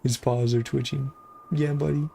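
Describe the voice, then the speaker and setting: A man's voice: mid-range voice, fairly even intonation, normal speed, gravelly, a loud crowd. A man chatting casually on a livestream while he plays a game, sounding easygoing and enthusiastic. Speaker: live streamer. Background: game music.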